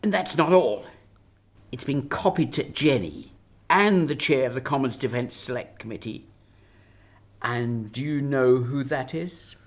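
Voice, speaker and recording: A woman playing an exasperated, sharp-tongued Prime Minister, scolding and sarcastic, in a radio drama. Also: very deep voice, expressive, measured pace, heavily acted. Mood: accusing.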